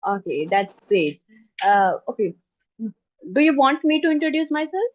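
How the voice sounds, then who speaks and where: Woman, interviewer, slightly high voice, soft backchannels, dull dry audio. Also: sing-song, moderate pace. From Haryana, India. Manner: attentive, frequent soft backchannels. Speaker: English teacher interviewing a learner. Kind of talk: interview conversation.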